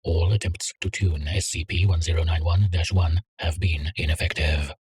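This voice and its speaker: A man reading a containment document aloud in a measured, clinical way. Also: deep voice, fairly even intonation, darkish tone, slightly rough, no room sound. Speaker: narrator. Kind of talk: scripted reading of a containment file.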